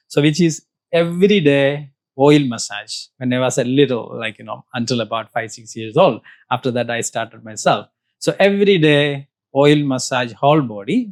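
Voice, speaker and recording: A man's voice: mid-range voice, no echo. A man from Kerala with a Malayali-accented Indian English, a native Malayalam speaker, patiently explaining Ayurveda with examples as an interview guest. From Kerala, South India.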